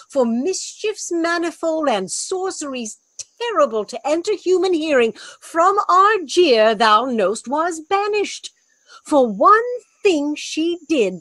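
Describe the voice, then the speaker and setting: Woman doing bossy sorceress master in a shakespeare audio play, theatrical, big pitch swings, really dry close sound. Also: a bit bright, dry recording, full character voice. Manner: theatrical, deliberate, scolding. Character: commanding, imperious sorceress master, quick to scold. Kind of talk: Shakespearean radio play acting.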